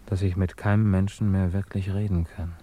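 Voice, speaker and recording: An actor playing a sober, lonely agent in a radio play, blunt and earnest. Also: male voice, sits low, level tone, brisk, dark tone. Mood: earnest, reproachful. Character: sober, lonely male agent receiving instructions. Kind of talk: German radio play acting.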